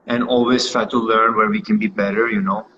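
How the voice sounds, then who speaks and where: A man's voice: lowish voice, little pitch movement, a little fast, fairly bright tone, muffled recording, slight background noise. A man with a Norwegian accent, answering interview questions in a hesitant way with many fillers. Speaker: dancer being interviewed. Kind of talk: casual interview.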